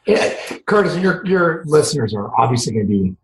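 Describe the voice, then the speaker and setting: A man with a raspy, somewhat hoarse voice speaks with lively swings in pitch. He sits a little high for a man and sounds animated. The room is echoey, with faint noise and clicks behind him. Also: brisk. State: hoarse from yelling. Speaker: guest, financial planner. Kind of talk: casual interview chat.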